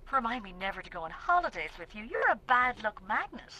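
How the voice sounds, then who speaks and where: A woman voicing her witty, warm best friend, who teases in wry quips in an audiobook. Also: a little high, very animated, slight background noise. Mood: teasing. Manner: wry, teasing, quick quips.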